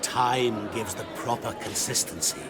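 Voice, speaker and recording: An actor playing a mysterious, theatrical man who teases a crowd with riddles in a video game, speaking in a savouring, deliberate manner. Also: lowish voice, music in the background, lightly acted. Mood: teasing, savouring. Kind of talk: video game dramatic acting. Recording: crowd noise in a public space.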